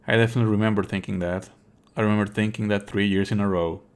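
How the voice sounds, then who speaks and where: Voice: deep voice, fairly even intonation, fast. A streamer giving wry, sweary, casual commentary on a game and reading its narration aloud in a live let's play.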